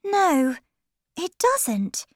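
A woman's voice: extremely high pitch, sing-song, very slow, no room sound, clean studio sound, voice pushed up, full character voice. A woman voicing a polite but indignant young girl in a children's story, with careful, spaced-out words. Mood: puzzled. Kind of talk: slow children's storybook character voice.

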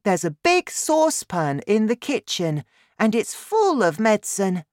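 A woman's voice: medium pitch, big pitch swings, measured pace, darkish tone, no room sound, clean studio sound, voice pushed up. A woman voicing an eager, considerate young boy in a children's storybook, speaking earnestly. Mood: proud, eager.

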